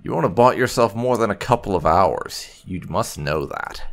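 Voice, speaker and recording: A man giving video game commentary in a deliberate, musing way, sometimes reading dialogue aloud. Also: on the low side, lively intonation, vocal fry. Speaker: let's-play gamer. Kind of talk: roleplay gameplay commentary. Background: video game music.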